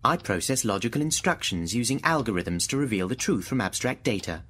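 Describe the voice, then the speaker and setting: An actor playing an aloof thinking machine in a radio drama, measured, precise and mocking. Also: male voice, mid-range voice, lively intonation, a bit bright. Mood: coolly logical. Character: sentient thinking machine, aloof, taunting, coolly logical.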